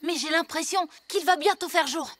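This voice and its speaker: Very high-pitched, bold cartoon kid. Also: female voice, normal speed, fairly bright tone. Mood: determined. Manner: exclamatory, emphatic delivery. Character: excitable, bold six-year-old child in an animated superhero adventure. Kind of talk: French cartoon dub. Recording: music behind the voice.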